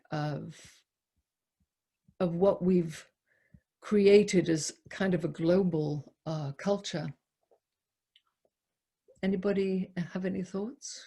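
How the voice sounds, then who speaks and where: A woman giving a poetry talk to a group, speaking in a halting, reflective way with repeated words and a husky voice. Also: on the low side, mellow. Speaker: poetry lecturer leading a discussion.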